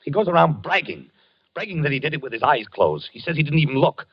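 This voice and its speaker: An actor in an old-time radio drama playing a tormented, obsessive husband who talks haltingly and repeats himself. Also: male voice, a little high, sing-song, slight background noise. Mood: tense, haunted. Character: tormented husband haunted by dreams of a duel. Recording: muffled vintage radio recording, dry.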